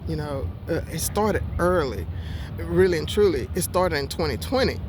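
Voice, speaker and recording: A woman's voice: low voice, expressive, moderate pace, background noise. A woman in her sixties telling her own story in a casual, rambling way, with many fillers and self-corrections, speaking outdoors in a park. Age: 60s. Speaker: vlogger.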